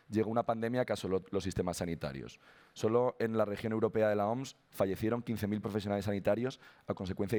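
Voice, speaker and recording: A young man with a Spanish accent giving a conference talk, his voice hoarse after an illness, hesitant with frequent restarts. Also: lowish voice, darkish tone. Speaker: conference speaker.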